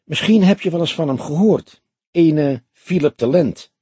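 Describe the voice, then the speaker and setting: Man playing a husky, dry older police detective. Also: on the high side, animated, dark tone, no room sound, voice pushed up, full character voice. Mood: grave. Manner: deliberate, explanatory, dryly humorous. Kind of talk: audiobook character dialogue.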